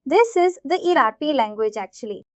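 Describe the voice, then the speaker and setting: A woman with an Indian accent giving an informal live software tutorial, explaining things repetitively and checking that listeners follow. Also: high-pitched, expressive, brisk, dry recording, muffled recording. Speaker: software instructor.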